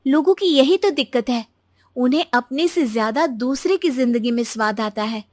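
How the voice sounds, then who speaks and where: A woman's voice: sits high, animated, moderate pace, no room sound, studio quality. A woman voicing a spirited young daughter-in-law in a cartoon, talking earnestly and persuasively.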